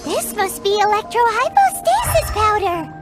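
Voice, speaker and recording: A tiny game fairy with an extremely high, squeaky little-girl voice. She is cheeky and excitable, swooping wildly from note to note. Music plays prominently behind her. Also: moderate pace. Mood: eager. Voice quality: squeaky, childlike. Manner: perky, speaks of herself in third person. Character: tiny excitable floating fairy companion, childlike girl, cheeky and food-loving. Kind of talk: video game character acting.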